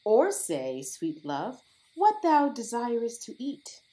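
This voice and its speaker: Woman playing a lovestruck fairy queen, slow and cooing, really swoopy melodic verse, audio play. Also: on the high side, huge pitch swings, warm tone, exaggerated voice. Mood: doting. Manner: tender, lyrical, cooing. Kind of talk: Shakespearean verse audio drama.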